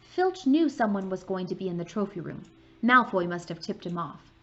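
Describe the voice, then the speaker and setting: Woman as a know-it-all schoolgirl, crisp lecturing tone, lo-fi. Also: mid-range voice, big pitch swings, moderate pace. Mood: scolding. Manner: sharp, lecturing. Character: clever bossy schoolgirl.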